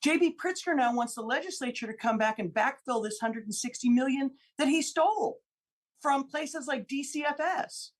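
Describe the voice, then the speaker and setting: Female lawmaker guest, from Illinois, on talk radio: forceful, repeats her figures, voice sits a little high. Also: moderate pace. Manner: emphatic, repeats key figures for stress. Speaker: state senator guest. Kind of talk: radio interview, political argument.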